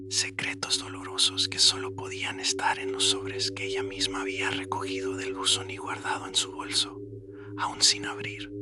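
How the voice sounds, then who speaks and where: A man with a whispery, breathy voice narrating a scripted horror story in a suspenseful storytelling manner. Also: low voice. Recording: loud music bed under the voice. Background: loud atmospheric music.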